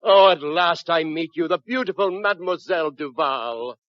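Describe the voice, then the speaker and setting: An actor playing an excitable, gushing, lovestruck older suitor in 1940s radio sitcom style. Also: male voice, a little high, moderate pace, dry recording, highs cut off, natural voice.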